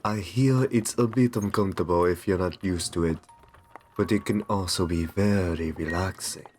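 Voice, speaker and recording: A man playing a courteous, possessive vampire master in an ASMR roleplay, soft-spoken, soothing and reassuring with genteel endearments. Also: sits low, moderate pace, a little hiss or hum, close to an everyday voice. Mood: coaxing, reassuring. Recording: music under the voice. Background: background music.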